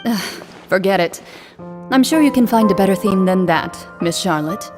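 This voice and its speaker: An actress playing a composed, reserved female duelist in a video game, speaking in a calm, formal, dry manner. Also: mid-range voice, normal speed, music in the background, natural voice. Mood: dry, dismissive.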